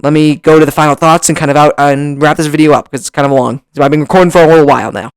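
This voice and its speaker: A man hosting a casual vlog, talking excitedly with lots of restarts, his voice slightly hoarse from long recording, close on a desk mic. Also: on the high side, rapid-fire, no room sound. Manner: excitable, rambling with many restarts. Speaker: YouTuber. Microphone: desk mic, bumped at times.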